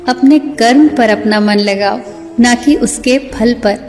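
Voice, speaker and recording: Slow, high-voiced woman narrator in heavy reverb. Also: darkish tone. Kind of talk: scripted motivational quote narration. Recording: long hall reverb, music bed. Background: music.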